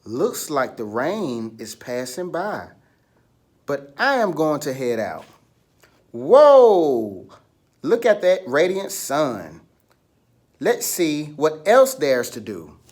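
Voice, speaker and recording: A man's voice: a little high, huge pitch swings, slow, darkish tone, exaggerated voice. A man voicing a small, cheerful, sun-loving creature for children, exclaiming with theatrical wonder.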